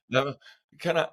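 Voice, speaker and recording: A man's voice: fairly bright tone, slight background noise. A Scottish man hosting a podcast interview, chatty and self-deprecating, asking eager questions. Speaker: podcast host. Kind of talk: casual interview.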